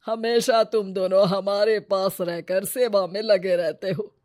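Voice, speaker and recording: A woman's voice: medium pitch, steady tone, a little slow, darkish tone, natural voice. A woman playing a bossy, loving old grandmother in a family story, scolding in a playful, folksy way. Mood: nagging affectionately.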